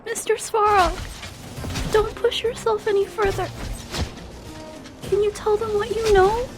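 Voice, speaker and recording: A woman voicing a gentle, kindhearted little girl in a game, hesitant, earnest and polite. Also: very high-pitched, steady tone, measured pace, music in the background. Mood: tender, earnest. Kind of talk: video game cutscene acting.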